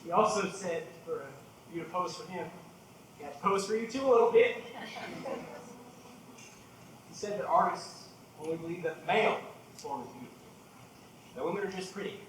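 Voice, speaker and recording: Guy doing bumbling smitten southern preacher, stage play, high voice, southern accent, really echoey. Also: normal speed, long pauses, natural voice. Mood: smitten, flustered. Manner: rambling, flustered. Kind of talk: theatrical stage acting. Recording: echoey room, distant mic, noisy.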